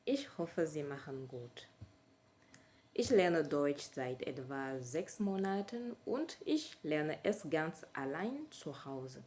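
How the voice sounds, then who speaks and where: A woman's voice: deep voice, big pitch swings, slow pace, a little mellow. A woman speaking German with a French accent as a learner, hesitant and often stopping to correct herself in a casual vlog. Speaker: language learner sharing her method. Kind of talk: casual vlog talk to camera.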